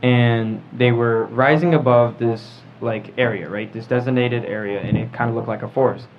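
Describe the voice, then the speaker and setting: A man's voice: medium pitch, fairly even intonation, normal speed. A teenage male podcast host leading a casual group discussion, often checking in with "right?" as he explains and prompts. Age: teens. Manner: prompting with frequent "right?" tags. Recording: dull lo-fi audio, background noise.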